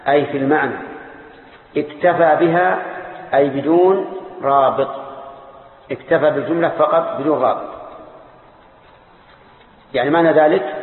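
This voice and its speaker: A man teaching a classical grammar lesson, parsing each word slowly and deliberately. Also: on the high side. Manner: slow, deliberate parsing, drawing out key words. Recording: echoey hall over a tinny lo-fi line.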